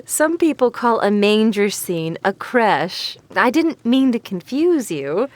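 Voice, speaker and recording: An actress playing an amused, kindly, devout neighbor woman in an audio drama, expressive and patient. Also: fairly high pitch, slow, no room sound. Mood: gentle, sincere.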